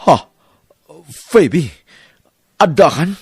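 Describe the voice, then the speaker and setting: Actor as animated, pleading Ilocano cheating husband. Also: male voice, on the high side, takes it slowly, husky, no room sound, clean studio sound, heavily acted. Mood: flustered. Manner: pleading, agitated. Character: cheating husband caught out, flustered, defensive, begging forgiveness. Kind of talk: Ilocano radio drama acting.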